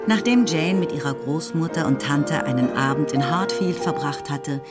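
Low-voiced woman narrating over music. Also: moderate pace. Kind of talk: scripted literary narration.

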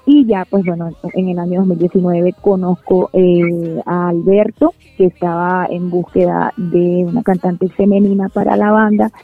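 A woman's voice: on the low side, fairly even intonation, moderate pace, hardly any pauses, no room sound, faint music behind. A Venezuelan woman who sings in a band, talking in a casual radio interview, hesitant with many fillers and restarts. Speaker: singer of a band, guest. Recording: tinny phone-like line.

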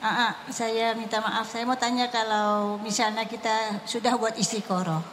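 A woman's voice: a little high, level tone, moderate pace, a bit bright, a little hiss or hum. A woman from Indonesia asking a question from the audience, hesitant and apologetic with fillers. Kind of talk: question from the floor.

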